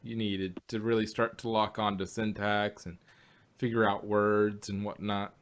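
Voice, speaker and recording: A man's voice: lowish voice, flat delivery, measured pace. A man co-hosting an audio drama review podcast, talking loosely with many restarts and easy laughs. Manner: rambling, restarts often, laughs easily. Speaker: podcast co-host. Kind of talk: casual review chat.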